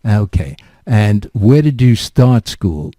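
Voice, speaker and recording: A man's voice: fairly low pitch, sing-song, slow pace, dark tone, dry recording, clean studio sound. An older man hosting a radio interview, asking chatty, rambling questions full of asides. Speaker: radio show host.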